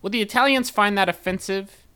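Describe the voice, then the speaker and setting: A man's voice: high voice, a little fast, pushed and bright. A man co-hosting a comedy podcast, casual and blunt, with mock exasperation. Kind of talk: casual comedic banter, reading listener submissions.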